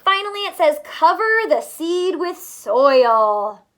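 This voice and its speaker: A woman teacher reading a picture book aloud to young children, pausing to explain simply and ask questions, drawing out words for emphasis. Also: extremely high pitch, sing-song, fairly bright tone.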